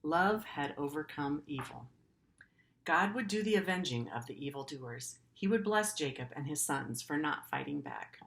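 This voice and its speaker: A woman's voice: low voice, animated, normal speed, gravelly, fairly bright tone, a little hiss or hum. A middle-aged woman teacher reading a story aloud to pupils in an earnest way, with simple, informal explanatory asides.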